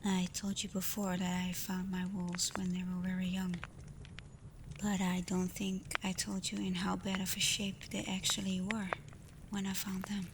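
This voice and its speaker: A woman voicing a caring alpha werewolf in a soft, breathy ASMR roleplay, gentle and reassuring, confident but privately unsure. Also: mid-range voice, moderate pace, lightly acted. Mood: affectionate. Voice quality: soft, breathy, often near a whisper. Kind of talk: ASMR roleplay, soft intimate talk to the listener. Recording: clear steady ambient noise.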